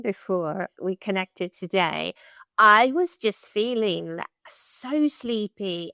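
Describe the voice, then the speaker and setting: An Irish woman chats as a podcast guest, bubbly and quick to laugh. Her voice sits fairly high and swoops with lively melody. The sound is dry and close. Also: no echo. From Ireland. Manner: bubbly and expressive, laughs easily. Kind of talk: casual interview chat.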